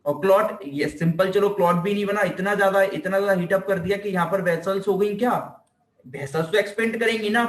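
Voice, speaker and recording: A man's voice: high voice, a bit bright. A man with an Indian accent giving an informal, emphatic physiotherapy lecture, repeating key phrases, asking rhetorical questions and mixing in English technical terms. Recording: echoey room, distant microphone.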